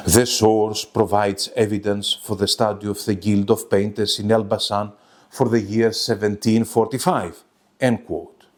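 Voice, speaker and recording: A man's voice: medium pitch, a little mellow. A man giving a scripted academic presentation in a formal, bookish way, reading out quotations as a lecturer would.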